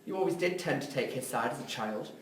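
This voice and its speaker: Exasperated writer brother male actor, complaining and insistent, lively intonation, roomy off-mic sound. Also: mid-range voice, fast talker, natural voice. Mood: bitter, accusing. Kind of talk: script reading of a drama. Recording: echoey room, distant, faint noise.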